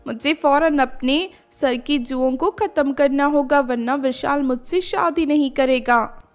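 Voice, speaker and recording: A woman voicing an innocent, distressed young mermaid bride in an animated fairy tale, with a plaintive manner. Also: sits high, unhurried, faint music behind, voice pushed up.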